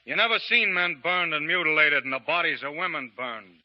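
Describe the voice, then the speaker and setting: An actor playing a calm, resolute frontier gunfighter with a laconic drawl, in a 1930s radio play. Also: male voice, fairly high pitch, fairly quick, lightly acted. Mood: grave. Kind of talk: 1930s radio drama acting. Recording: dull old radio recording.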